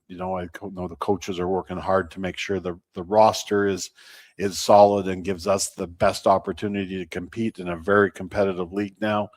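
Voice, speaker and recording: A low-voiced man gives an interview as a baseball team representative. He talks in a measured, halting way, stopping mid-thought before carrying on. The sound is close and dry. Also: dry recording. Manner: measured, halting, often pausing mid-sentence. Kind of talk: unscripted sports interview talk.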